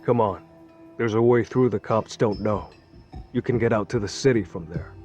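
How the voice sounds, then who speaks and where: A man's voice: on the low side, a little mellow, natural voice. An actor playing a guarded, stern man of a secretive underworld group, speaking formally and measuredly in a game dub. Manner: measured, formal, coolly forbidding. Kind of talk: English dub acting for a game cutscene. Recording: faint music behind the voice.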